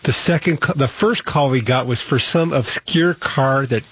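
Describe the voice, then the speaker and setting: A man's voice: medium pitch, moderate pace, fairly bright tone, slightly rough. A man co-hosting a car radio show, chatting casually and agreeably with the host. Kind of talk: casual on-air banter. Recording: dull radio sound, faint hiss.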